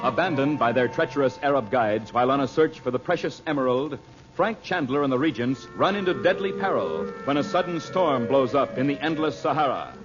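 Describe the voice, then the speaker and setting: A man's voice: medium pitch, lively intonation, fairly quick, over music. A man announcing and narrating an old radio serial in a polished, emphatic, theatrical scripted style. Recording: muffled vintage radio broadcast recording.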